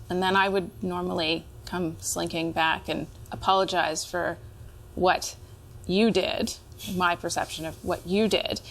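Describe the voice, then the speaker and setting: Lowish-voiced woman over background noise. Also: a bit bright. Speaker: wife in couples counselling. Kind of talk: candid conversational answer. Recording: clear room noise.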